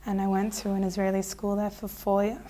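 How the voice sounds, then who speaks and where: A woman, telling her life story in a relaxed, chatty way full of fillers and restarts. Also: medium pitch, fairly quick. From Australia. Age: 20s. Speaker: interviewee. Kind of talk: casual personal storytelling.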